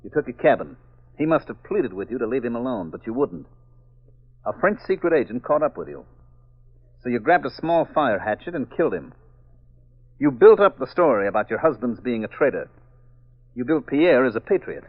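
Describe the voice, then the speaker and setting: Tough radio sleuth, dull vintage recording. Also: male voice, mid-range voice, sing-song, normal speed, long pauses, husky. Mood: accusing. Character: tough, cocky male amateur sleuth husband. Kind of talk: 1940s radio mystery acting.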